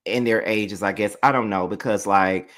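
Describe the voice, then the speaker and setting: Man, thirties, low voice; salty, slangy TV recap host who talks loose and animated. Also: moderate pace. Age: 30s. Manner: animated, swears a lot, slangy and chatty. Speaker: reality TV reviewer. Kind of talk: casual live recap commentary.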